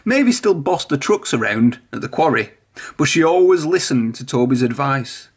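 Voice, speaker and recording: A man's voice: mid-range voice, big pitch swings, moderate pace, breathy edge. A man reading a children's storybook aloud, narrating expressively. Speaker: storybook reader.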